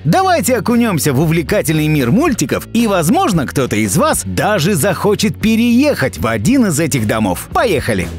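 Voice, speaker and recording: A man reading a scripted, upbeat list-video narration in an enthusiastic, emphatic way. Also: a little high. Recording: voice-over with music bed. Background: background music.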